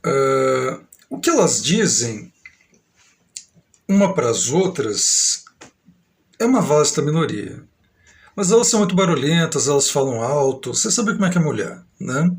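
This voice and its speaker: A man from Brazil with a São Paulo accent talks in a slightly high voice. He rambles through a long monologue, grandiose and aggrieved, speeding up and slowing down. He sounds middle-aged. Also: big pitch swings. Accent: São Paulo Brazilian Portuguese. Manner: grandiose, aggrieved, meandering with uneven pace.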